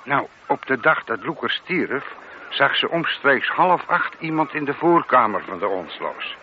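An actor in a radio play voicing a mild, matter-of-fact police sergeant who is deferential but politely doubting. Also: male voice, mid-range voice, lively intonation, measured pace, lightly acted. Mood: matter-of-fact, reporting. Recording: muffled, noisy lo-fi radio drama recording.

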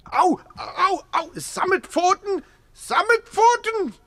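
A man's voice: extremely high pitch, big pitch swings, slow, fairly bright tone, heavily acted. An actor in a radio play playing a meek, tender-hearted man who coos silly rhyming baby talk to a lame lion. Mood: squealing, giddy. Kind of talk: theatrical radio play acting.

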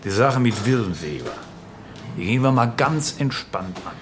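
An actor playing a jovial, domineering small-town power broker in a radio drama, blunt, folksy and patronising. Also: male voice, medium pitch, expressive, normal speed, noisy background, close to an everyday voice. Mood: firm, patronising. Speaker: local political fixer. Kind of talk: naturalistic radio drama acting.

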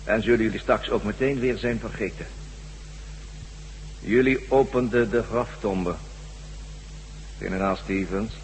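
An actor playing a calm, menacing, smug alien who commands others in a radio drama. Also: male voice, lowish voice, measured pace, pauses often. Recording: hollow reverberant hall, faint hiss and hum.

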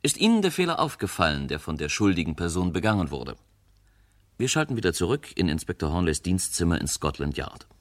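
A man presents a radio mystery in a very low voice. He addresses the listeners in scripted narration, with strongly varied intonation. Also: sing-song, brisk.

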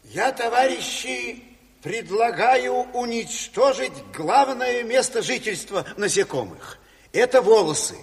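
A man's voice: very high voice, animated, a little slow, full character voice. An actor playing an eager, naive committee man, insistent and folksy, in a Soviet radio play. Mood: earnest. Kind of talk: 1970s Soviet radio drama acting. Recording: reverberant hall, old radio play recording.

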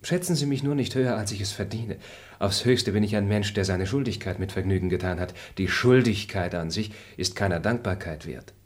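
An actor playing a noble, high-minded male traveller who speaks formally and moralizes in a radio drama. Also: a little fast, close to an everyday voice. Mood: lofty.

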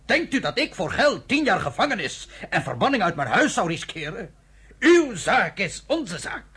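An actor playing an emotional, proud Cypriot shipowner in a radio play, with a husky, theatrical delivery. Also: male voice, high-pitched, sing-song, normal speed, full character voice. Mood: indignant. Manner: theatrical, indignant, swings between soft and loud.

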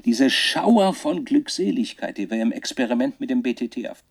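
A man's voice: mid-range voice, expressive, moderate pace, vocal fry, a little hiss or hum. An actor playing an anxious male researcher who solemnly dictates a haunted diary onto cassette for a radio drama. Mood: agitated, rapturous. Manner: solemn, dated journal entries, breaking off.

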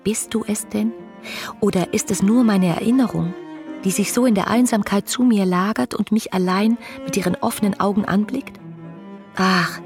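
A woman's voice: medium pitch, moderate pace, darkish tone, faint music behind, natural voice. An actress playing a passionate, lonely young woman in a literary radio drama, intimate and poetic, often sinking into whispers. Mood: anguished longing. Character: passionate, adoring, lonely young woman writing love letters.

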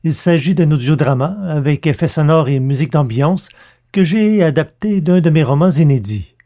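A man's voice: fairly high pitch, expressive, normal speed, mellow. A man hosting a podcast, announcing in a scripted style. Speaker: podcast host.

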